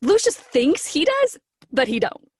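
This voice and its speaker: A woman's voice: high voice, big pitch swings, unhurried, dry recording. Woman chatting casually, close dry sound. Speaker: interview guest, voice actor. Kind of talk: casual interview chat.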